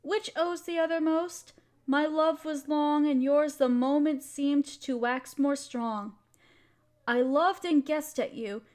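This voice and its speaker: A woman acting as a loving young wife with a high voice and a slow, lingering pace. She is tender and playfully teasing, speaking intimately to her partner as in a girlfriend roleplay audio. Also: a little mellow. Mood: tender, reciting.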